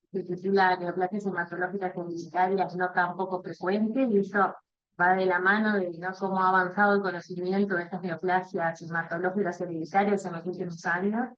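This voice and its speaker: Woman facilitator, lowish voice, hesitant fillers, muffled lo-fi sound. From Uruguay. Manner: hesitant, frequent "este" fillers and repeats. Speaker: webinar facilitator, hematologist. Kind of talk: formal introduction.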